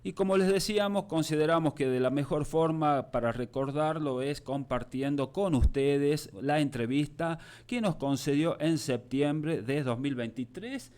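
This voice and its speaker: A man hosting a radio show, announcing a death in a formal, solemn and measured way. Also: on the high side, a little mellow. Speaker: radio host.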